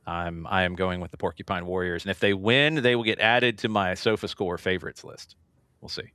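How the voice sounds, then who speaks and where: A man's voice: low voice, steady tone, brisk, bright, forceful tone, clean tone, dry recording, clean studio sound. A man hosting a sports show, talking casually through soccer match previews and steering the discussion.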